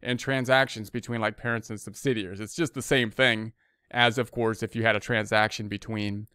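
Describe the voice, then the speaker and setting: A man's voice: lowish voice, fairly even intonation, fairly quick, sharp tone, clear, resonant tone, dry recording, studio quality. An American man giving an informal explanatory lecture as an instructor, talking conversationally and often rephrasing himself. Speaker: accounting instructor.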